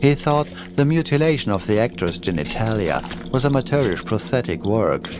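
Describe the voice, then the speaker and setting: A man's voice: on the low side, very animated, moderate pace, background noise. A man reading a scripted horror story in a dramatic, ominous way as its narrator. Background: creepy music and sound effects.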